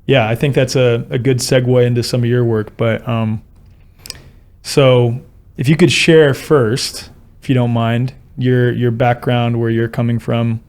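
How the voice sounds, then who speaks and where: A man's voice: mid-range voice, flat delivery, measured pace, darkish tone, clean tone. A man hosting a podcast, talking in a reflective, conversational way and searching for his words. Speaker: podcast host.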